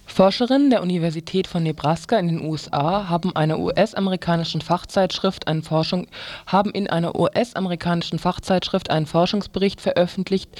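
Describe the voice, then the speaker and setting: Male newsreader, notably high voice, reading from a script in a dry studio sound. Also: darkish tone, no room sound. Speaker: news reader.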